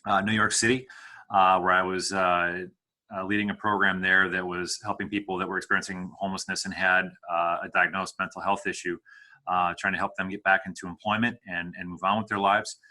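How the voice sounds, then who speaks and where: Middle-aged man from the Midwest, deep and bright, halting and measured with frequent "uh"s, answering interview questions. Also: flat delivery. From Midwest United States. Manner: measured, hesitant, with many fillers and restarts. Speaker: executive director of a homeless services charity.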